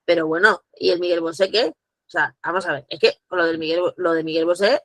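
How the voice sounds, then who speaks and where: A woman, speaking as guest at a virtual conference, animated and full of restarts. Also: on the low side, a little fast, no echo, studio quality. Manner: animated, acts out quoted dialogue, frequent restarts. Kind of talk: virtual conference talk, answering questions. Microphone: video call.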